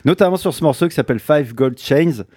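A man's voice: on the high side, expressive, brisk, warm tone, breathy edge, no room sound. A man casually presenting music on the radio, hesitant, with frequent fillers and restarts and an offhand, joking tone. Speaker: radio host.

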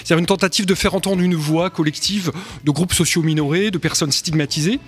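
A man's voice: on the high side, a little fast. A man who is an author, a guest on a radio interview, explaining at length and often stumbling over repeated words.

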